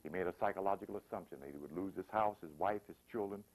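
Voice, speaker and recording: A man's voice: low voice, fast talker, poor audio. A man giving an informal, sermon-like motivational talk, repeating phrases emphatically and acting out examples. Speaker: motivational spiritual speaker.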